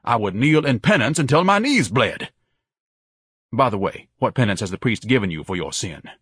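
A pompous, melodramatic grown son from an audiobook, acted out by a man. He declaims haughtily in a husky voice that swings widely in pitch, dry and close. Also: very animated, normal speed, fairly bright tone, no room sound. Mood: indignant, scornful. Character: pompous, indignant, self-pitying grown son, grandiose and melodramatic. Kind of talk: audiobook character voice.

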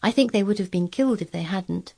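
A woman's voice: mid-range voice, brisk, darkish tone, slightly rough, voice pushed up. A woman voicing a frank, strong-willed, earnest young girl in storybook dialogue. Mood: frank, thoughtful. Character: frank, strong-willed, curious ten-year-old girl.

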